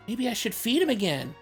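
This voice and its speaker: A woman's voice: a little high, very animated, a little slow, faint music behind. A woman chatting casually as she plays a video game and comments on it. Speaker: game player. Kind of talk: casual gameplay chat.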